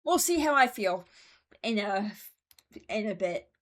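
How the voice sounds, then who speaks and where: Woman, casual ranking commentary, unhurried pace, medium pitch, hesitant, thinking aloud. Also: big pitch swings, a bit bright. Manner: hesitant, deliberating, self-correcting. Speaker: host ranking game voice lines.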